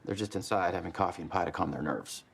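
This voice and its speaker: An actor playing an affable, folksy small-town sheriff in a game cutscene. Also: male voice, low-pitched, quick pace, gravelly, slight background noise. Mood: friendly.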